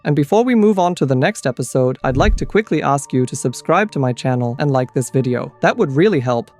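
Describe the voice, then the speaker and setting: A man's voice: medium pitch, lively intonation, a little fast, a little mellow. A man reading scripted explainer commentary as the host of a video essay. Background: background music.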